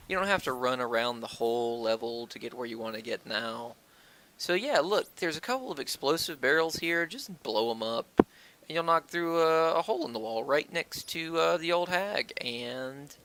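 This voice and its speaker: Bright-voiced, foul-mouthed man gaming. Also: mid-range voice. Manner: crude, profane, self-deprecating joking; rambling and halting. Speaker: gaming video host. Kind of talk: casual Let's Play commentary. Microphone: worn-out stand-by microphone. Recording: cheap mic with wonky audio.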